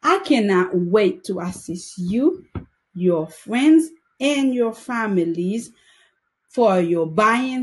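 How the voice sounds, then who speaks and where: A woman delivers a scripted sales pitch at an unhurried, deliberate pace. Her voice sits at a middle pitch, and she sounds earnest and careful over every word. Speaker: real estate agent. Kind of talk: scripted self-promotional pitch.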